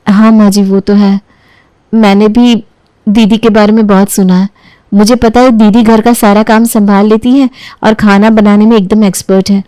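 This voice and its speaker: A woman's voice: mid-range voice, fairly even intonation, normal speed, warm tone, clear, resonant tone, dry recording, close to an everyday voice, rough recording. A woman voicing a dutiful young daughter-in-law in a family story, polite and deferential. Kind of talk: acted dialogue in a Hindi family storybook tale.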